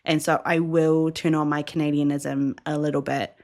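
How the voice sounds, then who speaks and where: A woman's voice: low voice, flat delivery, normal speed, darkish tone. A woman from New Zealand with a New Zealand accent, co-hosting a podcast in easygoing, reflective casual chat. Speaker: podcast co-host.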